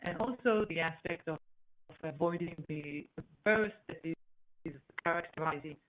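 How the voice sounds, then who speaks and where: A woman executive answering on an earnings call, explaining in a halting way as she searches for words. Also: low voice, slight background noise. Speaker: company executive. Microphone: conference call line. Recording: dull, lo-fi conference call line.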